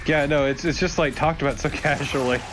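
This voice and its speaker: A man's voice: on the high side, steady tone, fairly quick. A man chatting casually as co-commentator on a let's play. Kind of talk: casual banter over game. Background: game music.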